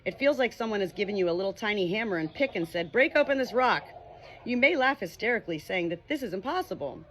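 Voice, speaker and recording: A woman reading a meditation book aloud as scripted narration, in a measured, expressive way. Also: mid-range voice, a little hiss or hum, rough recording. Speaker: audiobook reader.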